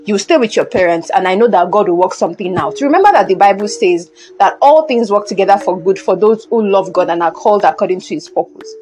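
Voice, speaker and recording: A Nigerian woman playing a calm, devout older confidante in an audio drama, counselling in a soothing but firm way. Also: medium pitch, fast, hardly any pauses, soft background music, close to an everyday voice. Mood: soothing, encouraging. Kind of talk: conversational audio drama acting.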